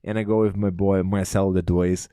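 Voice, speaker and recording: Male podcast guest, Brazilian accent, Portuguese native, clear low tone, dry close sound, relaxed conversational style. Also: a little fast, dry recording. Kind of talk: casual group chat.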